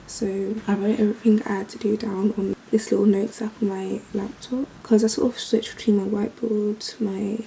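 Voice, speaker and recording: A young woman, chatting casually to camera at home about her day, a little croaky. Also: medium pitch, a little hiss or hum, poor audio. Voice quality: slightly croaky in the morning. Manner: relaxed, chatty, thinks aloud. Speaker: vlogger. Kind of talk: casual vlog chat to camera. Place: bedroom at home.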